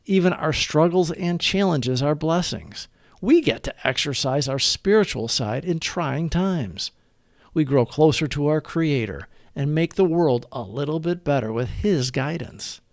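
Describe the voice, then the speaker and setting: A man giving an earnest, deliberate read-aloud of a scripted recovery meditation as its narrator. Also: fairly high pitch, animated, no room sound, clean studio sound.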